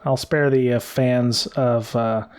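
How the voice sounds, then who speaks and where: An American man talks casually as a podcast co-host. His delivery rambles and hesitates as he works through each thought, at a middling pitch. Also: fairly even intonation, takes it slowly, darkish tone, clean tone.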